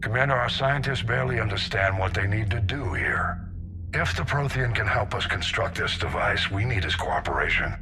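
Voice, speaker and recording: An actor playing a stern, weary older navy admiral, grave, deliberate and commanding. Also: male voice, lowish voice, expressive, noisy background. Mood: grave, urgent.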